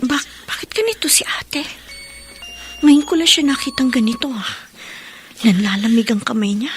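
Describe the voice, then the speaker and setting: A woman playing a caring, persistent younger sister in a radio drama, earnest and gentle. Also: on the high side, lively intonation, normal speed, slight background noise, close to an everyday voice. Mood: hushed, concerned.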